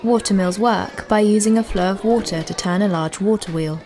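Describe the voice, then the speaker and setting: A woman's voice: medium pitch, moderate pace, a little mellow. A woman giving scripted informational narration as a guide. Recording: noisy ambience with crowd sounds.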